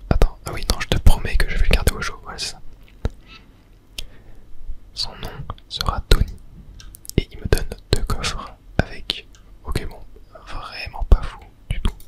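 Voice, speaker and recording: Hushed whisper, casual gaming ASMR. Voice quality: whispering throughout. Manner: casual, thinking aloud. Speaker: gaming video host. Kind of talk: whispered ASMR game commentary.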